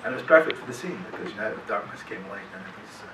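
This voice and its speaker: A middle-aged man tells rambling, self-deprecating stories to a live panel audience, restarting his sentences as he goes. He sounds distant from the mic in a roomy, echoing hall, with loud room noise around him. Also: lowish voice, expressive, rapid-fire. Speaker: actor guest on a convention panel. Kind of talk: casual anecdotes to a live audience.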